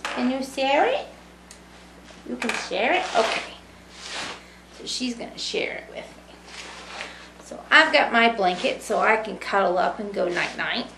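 A woman's voice: a little high, measured pace, creaky, long pauses. A woman in her thirties doing a storytime read-aloud, talking simply to young children. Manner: simple, child-directed talk with tag questions. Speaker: student volunteer reading a picture book to children. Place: small room with brick wall, indoors. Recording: echoey room with background noise.

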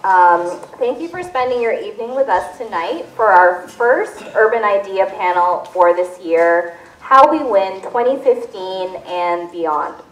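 A woman in her forties whose first language is Tagalog, moderating a community panel on a handheld mic and reading measured remarks from notes. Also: medium pitch, expressive, recorded from a distance. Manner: clear, measured, reads from notes. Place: community center hall with audience. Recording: echoey hall, voice a bit off-mic.

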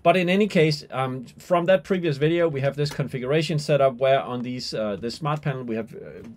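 A man's voice: medium pitch, a little fast, darkish tone. A man in his forties giving an unscripted tutorial demonstration, informal and somewhat hesitant as he thinks aloud. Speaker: tutorial presenter. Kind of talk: unscripted software and hardware demonstration. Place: small indoor room with skylight window.

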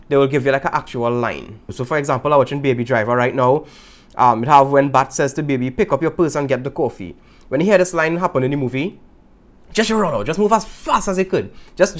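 Vlog host, young trini guy, quick talk, raised voice, lively, gestures and laughs. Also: a little high. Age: young adult. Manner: animated, gesturing, laughing asides. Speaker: YouTube host. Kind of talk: casual vlog talk to camera. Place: small indoor room with guitars.

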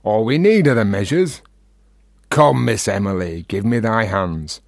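Florid, mock-courtly Victorian gentleman caller. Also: on the low side, expressive, a little slow, no room sound, clean studio sound. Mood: playfully courtly. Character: flattering, teasing male drinking companion in a Victorian household. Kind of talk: period radio drama acting.

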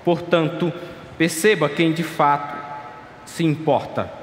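A pastor in his thirties preaching a sermon at a pulpit, passionate and pleading, dropping to quiet asides. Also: a little high, little pitch movement, slow pace, slight background noise. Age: 30s. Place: large dark church stage at a pulpit. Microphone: stand mic at a clear pulpit. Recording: echoey church hall, faint ambience.

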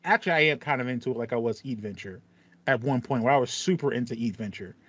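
An American man in his thirties talks casually as a gaming podcast co-host. His voice sits at a medium pitch, and the recording is very dry and a little dull. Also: quick pace, a bit bright, no room sound. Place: small home room with acoustic foam. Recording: slightly dull, band-limited, dry sound.

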